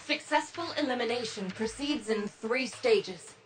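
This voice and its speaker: A woman's voice: fairly high pitch, measured pace, lightly acted. A woman acting as a terse, resolute resistance squad leader in a video game, giving clipped, authoritative briefings. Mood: grim, businesslike.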